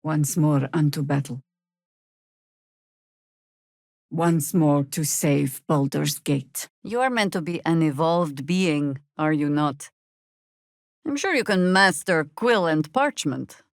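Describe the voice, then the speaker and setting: A middle-aged warrior druid from a fantasy game, world-weary and sardonic. Her voice sits fairly low and moves very slowly, with dry, measured delivery. The recording is close and dry, like studio game dialogue. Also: lively intonation, no room sound. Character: seasoned, wry, world-weary female Harper warrior druid. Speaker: video game voice actor. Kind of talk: dramatic video game character dialogue.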